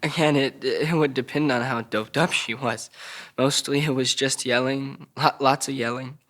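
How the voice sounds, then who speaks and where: A troubled young man, voiced by a male actor at a drama table read, speaks with a childlike plainness. He stammers and halts as he recounts painful memories, at a middling male pitch. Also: fairly even intonation, moderate pace, a bit bright, close to an everyday voice. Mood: haltingly confessional.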